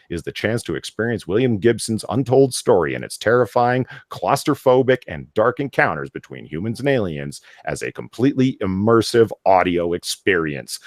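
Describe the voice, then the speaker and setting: A man hosting a casual live chat, excitable and emphatic, prone to swearing, who sometimes reads aloud. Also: lowish voice, no room sound, clean studio sound. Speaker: podcast host.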